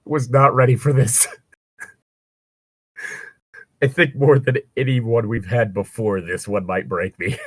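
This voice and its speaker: A man's voice: mid-range voice, steady tone, takes it slowly, pauses often, breathy edge, dry recording, clean studio sound. A man chatting casually and reading aloud as co-host, breaking off for sardonic, sweary asides. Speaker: co-host of a fan fiction reading show. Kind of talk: casual banter and read-aloud with commentary.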